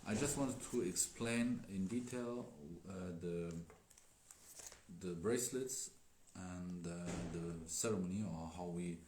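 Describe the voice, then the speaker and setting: A man who is not a native English speaker, chatting casually as a Daoist teacher on a livestream, halting with many fillers. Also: deep voice, a little mellow. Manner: halting, filler-heavy, laughs often. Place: indoor room with tea table. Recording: echoey room, faint noise.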